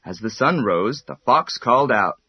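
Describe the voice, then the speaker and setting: A man narrating a children's bedtime story in an expressive, measured storytelling manner. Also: medium pitch, breathy edge, no room sound, lo-fi sound.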